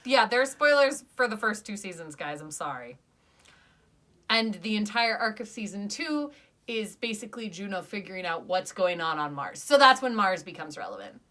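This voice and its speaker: Young woman gushing about a podcast with a friend, bright crisp voice, talks fast and teases back. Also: mid-range voice, very animated. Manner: chatty, enthusiastic, argues playfully. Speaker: podcast review co-host. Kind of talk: casual chat.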